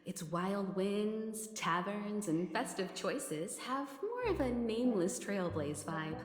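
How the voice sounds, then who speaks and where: A woman acting a composed, mature traveller in a video game, speaking in a measured, articulate and thoughtful way with courteous watchfulness. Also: mid-range voice, big pitch swings. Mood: calm, courteous. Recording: roomy reverberant voice under loud music.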